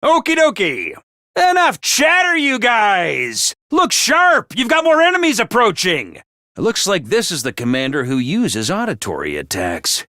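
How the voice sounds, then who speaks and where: A man voicing a peppy, bossy little mascot commanding officer in a video game, with a high cartoonish voice and theatrical, jaunty drill-sergeant delivery. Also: wildly swooping pitch, unhurried, pushed and bright, no room sound, studio quality, exaggerated voice. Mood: gleeful, rousing. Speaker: voice actor for a video game character. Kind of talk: animated video game voice acting.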